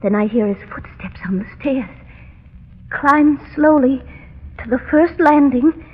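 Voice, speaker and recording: An actress playing a fragile, haunted young heiress, formal and dramatic in the manner of 1930s radio melodrama. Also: female voice, sits high, lively intonation, a little slow. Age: young adult. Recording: muffled, echoing 1930s radio recording.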